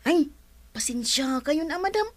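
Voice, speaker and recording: A woman's voice: high voice, animated, unhurried, no room sound. A woman playing a gossipy, joking male helper. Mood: teasing. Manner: teasing, laughing, chatty. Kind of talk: radio drama acting.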